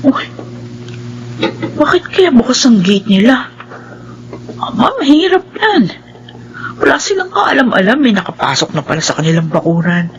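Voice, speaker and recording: A woman's voice: a little high, big pitch swings, moderate pace, pauses often, dull audio, a little hiss or hum. A woman playing a worried, loyal employee in a Filipino radio drama, earnest and talking her actions aloud. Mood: uneasy.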